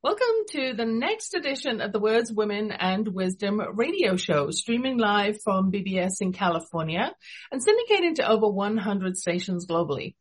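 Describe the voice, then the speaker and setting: A woman's voice: mid-range voice, sing-song, normal speed, husky. English-accented woman hosting a radio interview. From England. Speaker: radio show host.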